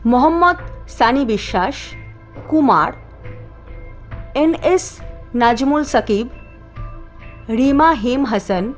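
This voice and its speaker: A woman narrating a cartoon story and reading out listener names and a quiz question in a deliberate, scripted manner. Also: sits high, animated, soft background music. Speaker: narrator and channel host.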